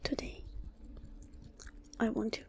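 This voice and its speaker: Very slow whispering woman, ASMR meditation. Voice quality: breathy whisper. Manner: hushed, soothing, drawn-out phrases with long pauses. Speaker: ASMR channel host and meditation guide.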